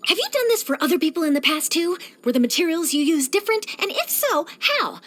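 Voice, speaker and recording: A young woman voicing an eager, curious, cheerful young reporter in a video game, with a chatty, expressive delivery. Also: extremely high pitch, a little fast, heavily acted. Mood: excited. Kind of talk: scripted video game character voice lines.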